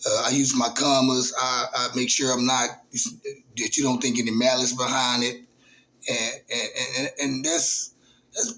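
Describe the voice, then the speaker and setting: A man, hosting his own channel in a casual, halting monologue full of fillers and restarts. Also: medium pitch, a bit bright, poor audio. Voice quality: raspy voice. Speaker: YouTube channel host.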